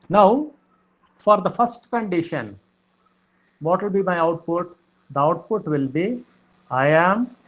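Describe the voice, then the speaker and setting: A man teaching programming, explaining slowly with many fillers and repeated "okay". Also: a little high, muffled recording. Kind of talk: lecture explaining code.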